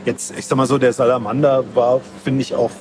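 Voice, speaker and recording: A man's voice: mid-range voice, level tone, brisk, noisy background. A man interviewed as a comic creator, explaining things in casual, colloquial talk.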